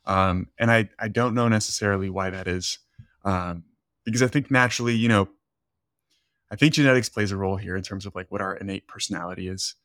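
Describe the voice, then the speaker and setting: A man's voice: low-pitched, brisk, fairly bright tone, no room sound, studio quality. A man whose first language is Spanish, a coach hosting a casual podcast, talking earnestly with lots of "like" and "right?". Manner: earnest, rambling, leans on "like" and "right?". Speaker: fitness coach and podcast host.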